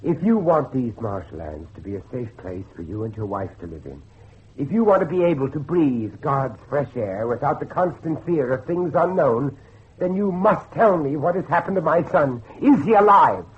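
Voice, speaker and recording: An actor playing an anguished middle-aged father and judge, intense and halting, in a horror audio drama. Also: fairly high pitch, sing-song, breathy edge, heavily acted. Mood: demanding, bitter. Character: anguished older father, a judge hunting his lost son. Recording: muffled lo-fi recording with faint noise.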